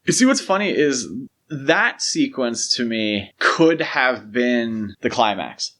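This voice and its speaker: A male podcast co-host talks through films in a casual, explanatory manner. His voice sits at an average pitch, and his phrasing is loose and conversational, peppered with filler words. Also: big pitch swings, slow, a bit bright. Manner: conversational, explanatory, uses "like" and "you know" often. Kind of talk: casual film discussion podcast.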